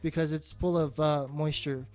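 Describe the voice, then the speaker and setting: A man's voice: fairly high pitch, steady tone, moderate pace, soft background music. A man chatting casually as a podcast co-host, retelling a plot in colloquial, sweary language. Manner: profane, colloquial.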